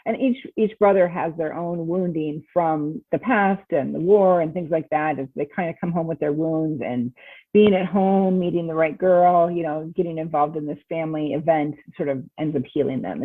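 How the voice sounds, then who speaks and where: Over a narrow phone-like connection, a woman speaks with a rough-edged, husky voice. She is a chatty, enthusiastic guest who tells stories casually, with little room sound around her. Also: sits low, normal speed, no room sound. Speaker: author guest. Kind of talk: casual animated storytelling in an interview. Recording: thin, phone-like, band-limited call.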